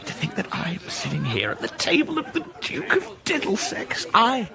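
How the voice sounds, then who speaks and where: Flustered english gentleman writer, high swoopy voice, bit creaky, tipsy and gushing, radio drama. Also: huge pitch swings, normal speed, a crowd in the background, exaggerated voice. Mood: tipsy, overwhelmed. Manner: effusive, genteel, self-pitying. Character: flustered, obsequious, bitter tipsy literary gentleman. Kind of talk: period radio comedy drama acting.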